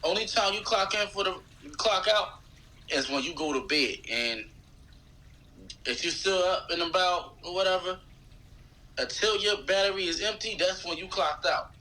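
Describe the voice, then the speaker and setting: A man's voice: sits high, normal speed, pushed and bright, poor audio. An American man chatting casually as a podcast guest, using slang and repeating phrases for emphasis. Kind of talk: casual chat.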